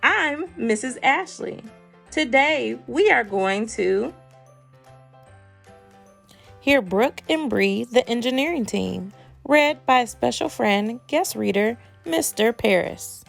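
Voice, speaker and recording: A woman's voice: fairly high pitch, animated, slow, creaky, soft background music. A woman hosting a children's read-aloud show, giving scripted greetings to young children.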